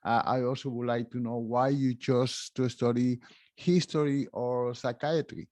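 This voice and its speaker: A man hosting a podcast, introducing his guest and asking questions with animated emphasis. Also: medium pitch, a little slow, no room sound. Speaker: podcast host. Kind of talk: podcast interview.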